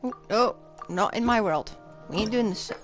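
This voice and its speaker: A woman's voice: medium pitch, moderate pace. A woman streaming a video game, chatting casually with rambling, joking asides. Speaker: live streamer. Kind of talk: casual gaming livestream chat. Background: game music.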